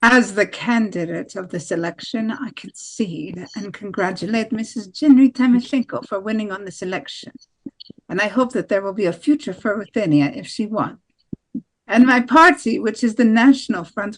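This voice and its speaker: A woman acts out a female nationalist politician making a formal concession speech in a play. Her accent is American, her pitch sits in the middle for a woman, and she is apologetic yet proud. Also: normal speed, close to an everyday voice, low-quality recording. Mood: formal, conceding. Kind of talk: amateur table read of a political speech.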